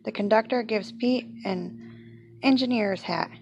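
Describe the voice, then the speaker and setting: A woman's voice: on the high side, measured pace, gravelly, a little mellow. Woman reading a children's storybook, noisy recording. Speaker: storybook reader. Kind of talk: children's picture book read aloud.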